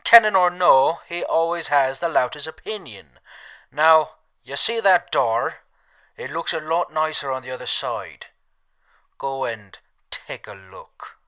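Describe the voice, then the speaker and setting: A man's voice: a little high, lively intonation, unhurried, pushed and bright, clear, resonant tone. A man playing a grumpy aging shopkeeper in a post-apocalyptic radio drama, sardonic and curt. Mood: irritated, threatening. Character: gruff, grumpy aging male wasteland shopkeeper.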